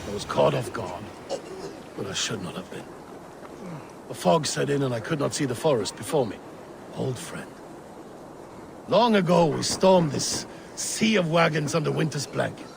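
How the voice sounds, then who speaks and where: An actor playing an aging, ailing, proud Viking king in a video game, grandiose and gravelly. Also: medium pitch, expressive, background noise. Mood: solemn, proud. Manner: grandiose, theatrical, deliberate. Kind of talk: dramatic video game acting.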